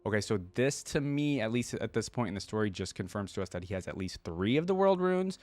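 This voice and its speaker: A man's voice: fairly low pitch, animated, brisk, no room sound. A man reading a fantasy story aloud expressively and adding casual remarks as a reaction host.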